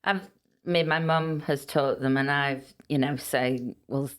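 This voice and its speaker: A woman's voice: rumbling low, steady tone, takes it slowly, smooth. A middle-aged woman from Liverpool, raised speaking Welsh first, with a Welsh-tinged accent, talking hesitantly as an interview guest. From Liverpool, England. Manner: soft-spoken, hesitant with many ums. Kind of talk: casual chat.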